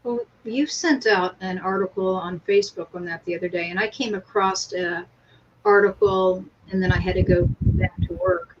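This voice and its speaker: A woman's voice: medium pitch, fairly even intonation, moderate pace. A woman talking casually in a discussion, on a muffled lo-fi recording. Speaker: co-host. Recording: muffled lo-fi audio with clear noise.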